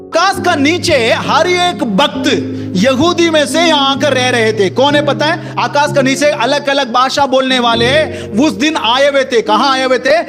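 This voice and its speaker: Male pastor, very high voice, Pentecostal sermon, exhortatory, repetitive call-and-response, clear music underneath. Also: a little slow. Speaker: pastor preaching to a congregation.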